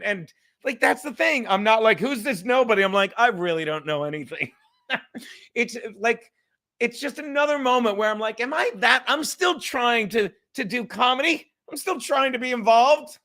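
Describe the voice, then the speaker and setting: A man with a high, bright voice hosts a loose livestream hang. He rambles casually, putting himself down and swearing freely as he goes. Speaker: livestream host. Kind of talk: casual profane livestream chat.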